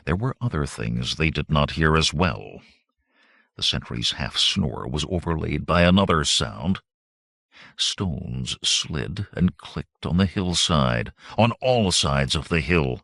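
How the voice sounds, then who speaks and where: A man's voice: extremely low pitch, lively intonation, slow pace, vocal fry, fairly bright tone, no echo, studio quality. A man reading fantasy adventure fiction as a dramatic audiobook narrator.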